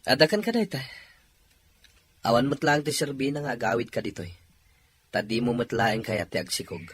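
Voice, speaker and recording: An actor with an Ilocano accent playing a discontented husband longing for a child in a radio drama. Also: male voice, mid-range voice, fairly quick, fairly bright tone, husky. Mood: annoyed.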